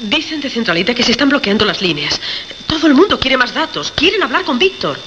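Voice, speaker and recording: An actress playing a flustered music editor in a radio suspense drama. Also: female voice, a little high, big pitch swings, quick pace, fairly bright tone. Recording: muffled, faintly noisy radio drama recording.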